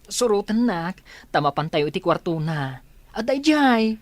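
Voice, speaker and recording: A woman's voice: mid-range voice, sing-song, measured pace, dry recording. A woman playing a helpful, reassuring family friend in a Filipino audio drama. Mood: inviting, grateful.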